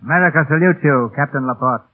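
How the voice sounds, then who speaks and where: A man's voice: fairly high pitch, fast. A man hosting and narrating a radio drama in a grand, formal 1930s scripted style. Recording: muffled narrow-band vintage radio broadcast. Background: music.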